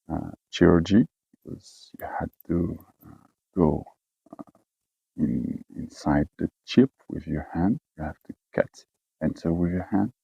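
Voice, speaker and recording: A man from French-speaking Switzerland with a Swiss French accent, talking casually in halting English with many fillers and restarts. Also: very deep voice, creaky, breathy edge, no room sound, phone-quality sound. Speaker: expatriate herder. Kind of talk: casual personal talk.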